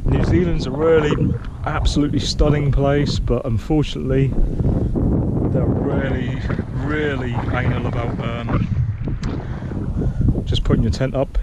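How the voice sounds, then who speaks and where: A man's voice: medium pitch, level tone, a little slow. A man vlogging from a windy grassy headland by the sea, chatting casually with many ums and restarts. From Britain. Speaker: hiker filming his own trail vlog. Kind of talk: casual rambling chat to camera. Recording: loud wind noise on the mic outdoors.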